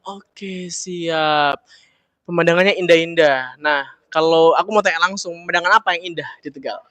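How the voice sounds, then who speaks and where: Bright, high-voiced young male host. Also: normal speed, no room sound. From Solo, Central Java. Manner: upbeat, repeats "oke, siap". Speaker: interviewer host. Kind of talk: casual interview chat.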